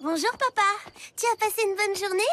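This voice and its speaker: A chatty cartoon girl, super high little voice, caring and clever. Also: a little fast, a bit bright, soft background music. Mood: sweet. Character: lively young girl, caring and resourceful. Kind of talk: cartoon dubbing.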